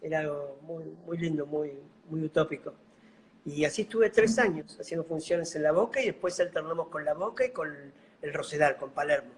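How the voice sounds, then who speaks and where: A middle-aged man from Buenos Aires, with an Argentine accent softened by a Bolivian lilt, telling his story in an unhurried, gentle way. His voice sits a little higher than most men's. It comes through a video call. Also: a little hiss or hum, poor audio. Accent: Argentine Spanish, slightly Bolivian-tinged. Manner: unhurried, gentle, fond of diminutives. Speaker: guest, mime and clown actor. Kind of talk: casual interview storytelling.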